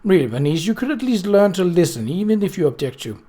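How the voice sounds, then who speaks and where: A man's voice: high-pitched, big pitch swings, quick pace, mellow. Man as composed, ironic lady of the house. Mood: correcting. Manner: mild, firm, lightly ironic. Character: composed, mildly teasing upper-class lady of the house.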